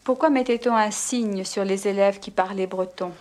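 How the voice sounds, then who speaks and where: A woman's voice: medium pitch, moderate pace, darkish tone. A woman asking polite, formal interview questions.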